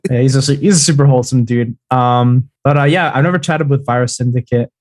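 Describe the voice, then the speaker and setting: A man's voice: mid-range voice, fast, no room sound, studio quality. Chill producer guy guesting on a podcast, laughs a lot, easygoing. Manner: laid-back, laughs easily. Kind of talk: casual chat.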